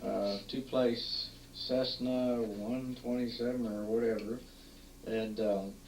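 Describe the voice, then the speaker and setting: A man's voice: mid-range voice, level tone, a little mellow. Dad in his forties, rambling. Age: 40s. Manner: rambling, halting, many uhs and restarts. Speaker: father narrating home movies. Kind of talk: casual family chat over home movies. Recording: roomy, distant, faint hiss, 1980s home tape.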